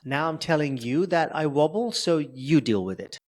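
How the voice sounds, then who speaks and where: A man's voice: on the high side, animated, moderate pace, a little mellow. A man talking as an interview guest who stutters, with repeated sounds and blocks, speaking openly and with humor. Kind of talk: conversational interview.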